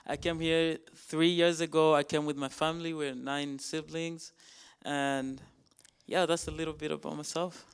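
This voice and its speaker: Young man, clear smooth voice. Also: a little high, level tone. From Uganda. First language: Luganda. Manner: simple, halting sentences. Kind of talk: brief self-introduction to an audience.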